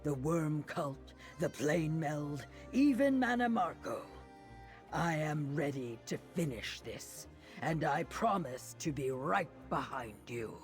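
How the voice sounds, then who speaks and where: A man voicing a wise, steadfast reptilian mage in a video game, speaking in a measured, poetic way with a husky voice. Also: on the high side, sing-song. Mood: wry, resolute. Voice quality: husky, breathy rasp. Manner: measured, poetic, dryly warm. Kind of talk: video game character acting. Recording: music behind the voice.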